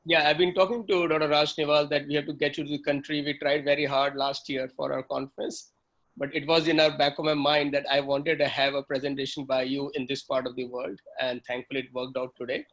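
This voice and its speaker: A man's voice: fairly high pitch, little pitch movement, brisk, fairly bright tone, rough recording. A man hosting an online panel and courteously wrapping up the session over a video call. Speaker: host.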